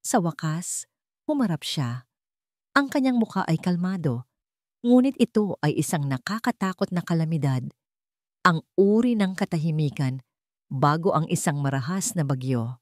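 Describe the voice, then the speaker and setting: A woman's voice: low-pitched, animated, moderate pace, pauses often, no echo, clean studio sound. A woman reading a scripted romantic drama as a storyteller, in dramatic narration.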